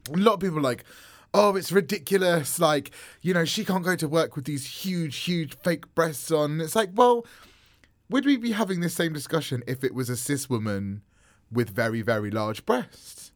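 A man's voice: fairly high pitch, moderate pace, no echo. A man co-hosting a podcast in casual chat, chesty and coughing a little, chatty and restarting his sentences, speaking into a studio mic. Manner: chatty, animated, trails off and restarts. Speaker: podcast co-host. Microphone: studio mic with pop guard.

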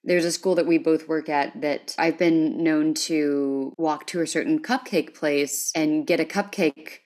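Low-pitched woman chatting as podcast host. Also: fairly even intonation, unhurried, a little mellow. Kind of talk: casual conversational interview.

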